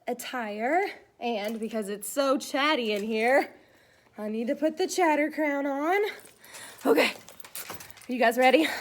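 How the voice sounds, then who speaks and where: A woman's voice: fairly high pitch, a little hiss or hum. A woman schoolteacher chatting to young pupils in a child-directed way, asking questions during a read-aloud.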